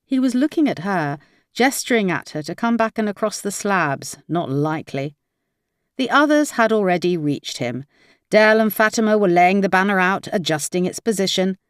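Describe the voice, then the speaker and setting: A woman's voice: mid-range voice, animated, moderate pace, clear, resonant tone, dry recording, studio quality. A woman reading a crime novel aloud as scripted audiobook narration.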